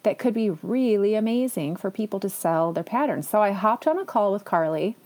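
A woman hosts her podcast alone in a casual, chatty way. She sounds eager and enthusiastic, and she often drops in little self-deprecating asides. Her voice sits at a middle pitch for a woman. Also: expressive, moderate pace, darkish tone. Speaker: podcast host. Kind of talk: casual solo podcast talk.

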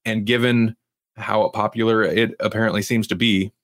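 Male podcast host, lowish pitch, dry sound, rambling casual chat. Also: level tone, moderate pace, fairly bright tone, no room sound.

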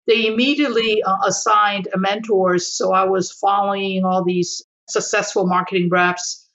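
A woman's voice: medium pitch, fairly bright tone, dull audio. Older woman. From Taiwan. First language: Chinese. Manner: measured, with frequent fillers and restarts. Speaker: interview guest. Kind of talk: reflective conversational storytelling.

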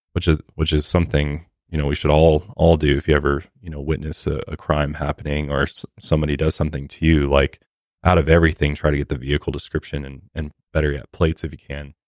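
A man's voice: extremely low pitch, little pitch movement, fast, dry recording, clean studio sound. A man co-hosting a podcast, giving casual conversational commentary. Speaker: podcast co-host.

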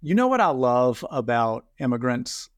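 A man's voice: medium pitch, very animated, normal speed, no room sound, studio quality. A man hosting a podcast in a casual, conversational interview style. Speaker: podcast host.

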